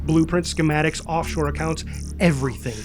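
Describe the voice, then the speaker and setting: A man's voice: fairly high pitch, fairly even intonation, a little fast, music in the background. A man narrating action and stage directions from a script in a dramatic style.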